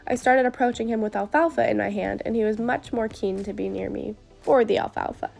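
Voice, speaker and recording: A woman's voice: medium pitch, steady tone, brisk, gravelly, darkish tone, faint music behind. A young woman with a stuffy cold, narrating her horse training in an upbeat, earnest voice-over that explains each step.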